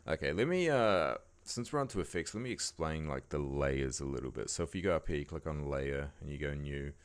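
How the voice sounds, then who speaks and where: A man with a croaky voice giving a laid-back, casual editing tutorial, talking loosely with lots of fillers. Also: a bass voice, expressive, brisk. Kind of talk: casual screen-recording tutorial voice-over.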